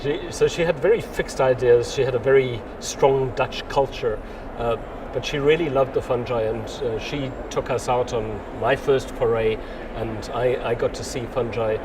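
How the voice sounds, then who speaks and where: A man's voice: mid-range voice, steady tone. A man in his sixties answering interview questions casually, rambling with frequent restarts. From South Africa. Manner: rambling, restarts often, unhurried. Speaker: interviewee, mycologist. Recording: distant mic, loud outdoor noise.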